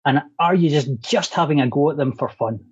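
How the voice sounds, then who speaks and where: A man's voice: on the high side, brisk, husky. A man hosting an online talk, introducing a guest with wry jokes. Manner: wry, jokey. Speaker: host. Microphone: video call. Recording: dull lo-fi sound.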